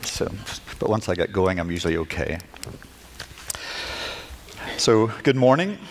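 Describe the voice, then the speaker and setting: A middle-aged man with a Northern Irish accent, giving a personal lecture from his notes, nervous and halting, with restarts and self-deprecating asides. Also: sits low, big pitch swings, slight background noise. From Northern Ireland. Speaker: guest lecturer. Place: lecture hall at a conference.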